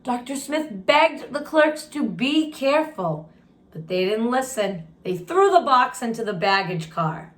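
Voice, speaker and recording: A woman reads a storybook aloud for children at a slow, deliberate pace. She tells it expressively and breaks off to add her own comments and questions. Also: on the high side, very animated. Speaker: storytime reader and teacher.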